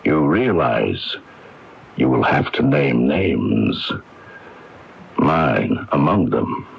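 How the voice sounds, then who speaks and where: An actor playing a coldly courteous, menacing mobster in a radio mystery, speaking in a measured, formal way with a gravelly voice. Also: male voice, rumbling low, animated, heavily acted. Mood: quietly menacing. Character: coldly courteous, menacing mob-connected man. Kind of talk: radio mystery drama acting. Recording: muffled, hissy AM radio recording.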